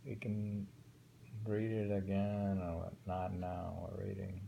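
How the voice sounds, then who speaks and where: A middle-aged man reads old poems aloud, then muses over them in a relaxed, thinking-aloud way. His voice is low and mellow, with a gravelly edge. He takes his time, often pausing to reread a line. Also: highs cut off, a little hiss or hum. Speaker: poetry reader. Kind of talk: reading poems aloud with casual commentary.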